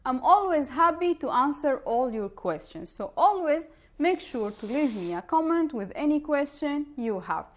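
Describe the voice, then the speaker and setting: A woman's voice: high voice, big pitch swings, moderate pace. A woman with a Levantine Arabic accent, a native Arabic speaker, giving an informal language lesson and explaining through examples. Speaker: language teacher.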